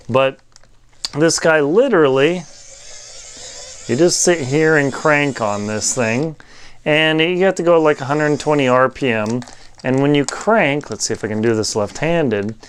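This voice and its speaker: A man's voice: on the high side, clear, resonant tone. A man giving a casual, hands-on product review in a conversational, matter-of-fact and colloquial way. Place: indoor studio.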